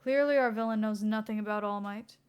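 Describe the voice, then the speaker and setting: A woman's voice: mid-range voice, a little fast, smooth, highs cut off, lightly acted. A woman voicing a dogged, deadly serious male police detective in a story, stern and matter-of-fact. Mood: stern, earnest.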